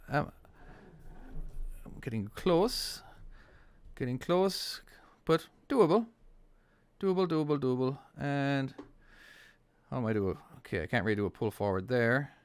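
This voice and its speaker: An Irish man in casual commentary, rambling and self-deprecating, laughing as he goes. His voice sits at an ordinary middle pitch. The sound is dry and close, with no room around it. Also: clean tone, no echo. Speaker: trucking channel host.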